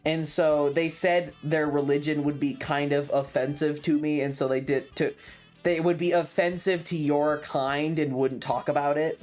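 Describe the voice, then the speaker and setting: Androgynous mid-pitched voice, casual stream storytelling, sweary, sardonic, acted-out dialogue, game music in the background. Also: fairly even intonation, normal speed, a little mellow. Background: video game music.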